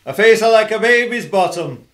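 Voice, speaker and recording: A man's voice: sits high, animated, normal speed, a bit bright. An amateur actor playing a cheeky, bumbling male outlaw in a comic radio play.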